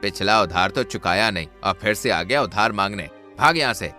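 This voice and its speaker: A man's voice: lowish voice, lively intonation, moderate pace, sharp tone, pitched below the performer's own voice. A man voicing a curt, dismissive, self-interested village man in a story over music. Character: harsh, self-interested village moneylender and doctor types.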